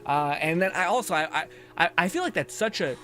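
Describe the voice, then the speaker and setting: Bright-voiced young male game streamer. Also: high-pitched, fairly quick. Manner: rambling, animated, laughs often, jumps into mock voices. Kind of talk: casual live-stream chat while playing. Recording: game music faint underneath.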